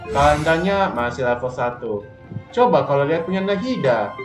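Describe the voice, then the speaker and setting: A man's voice: on the high side, big pitch swings, fairly quick. A man with an Indonesian accent chatting casually and informally to viewers as he streams a game. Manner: informal, slangy, addressing viewers. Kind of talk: casual livestream gameplay chat. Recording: roomy stream mic over game music. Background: game music.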